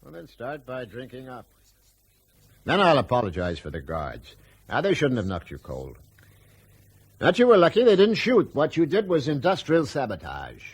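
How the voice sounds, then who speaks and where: An actor playing a pragmatic, persuasive aviation company boss in a radio drama, condescending and forceful, with a husky voice. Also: male voice, mid-range voice, wildly swooping pitch, moderate pace, exaggerated voice. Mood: assured, goading.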